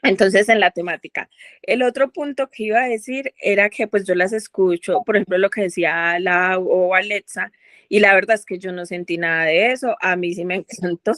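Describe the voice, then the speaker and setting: A woman's voice: mid-range voice, moderate pace, fairly bright tone, no echo. Woman thinking aloud, very dry sound. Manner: rambling, thinking aloud, many fillers and restarts. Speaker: book club participant. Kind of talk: casual group discussion.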